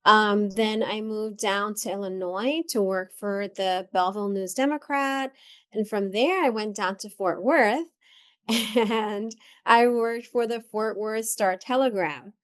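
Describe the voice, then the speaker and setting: A woman's voice: medium pitch, unhurried, clear, resonant tone. A middle-aged woman from the Dominican Republic, a therapist guest telling her career story in a reflective way with many ums and restarts. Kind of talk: conversational interview.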